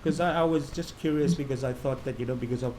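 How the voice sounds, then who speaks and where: Mellow-voiced man hosting an interview. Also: medium pitch, fast talker, a little hiss or hum, poor audio. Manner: chatty, rambling questions with "you know". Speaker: host of a live interview series. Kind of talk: live online interview.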